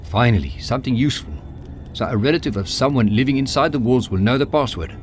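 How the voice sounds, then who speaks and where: A man's voice: mid-range voice, animated, quick pace, slight background noise, lightly acted. A man doing a curt, impatient wanderer. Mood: dry. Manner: curt, dismissive. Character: blunt, impatient male wanderer.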